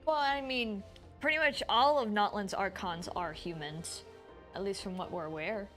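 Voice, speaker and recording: A woman's voice: mid-range voice, normal speed, fairly bright tone. A woman streaming a video game, reading its text aloud and commenting casually. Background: game music.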